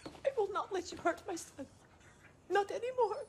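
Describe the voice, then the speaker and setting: An actress playing a gentle, protective farm wife and mother, speaking in careful, formal phrases in a dramatic video game. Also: extremely high pitch, moderate pace, a little hiss or hum. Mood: fearful pleading.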